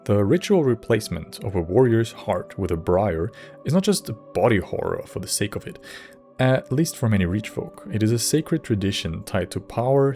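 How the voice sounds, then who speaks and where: A man giving scripted narration for a game lore video in a measured, slightly wry way. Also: sits low, a little mellow.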